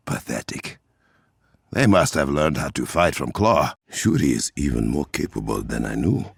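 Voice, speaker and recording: An actor playing a proud, resolute warrior king in a video game, with an African accent and formal, measured, regal diction. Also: very deep voice, big pitch swings, vocal fry, breathy edge, dry recording, clean studio sound, heavily acted. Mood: grave, composed. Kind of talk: dramatic video game voice acting.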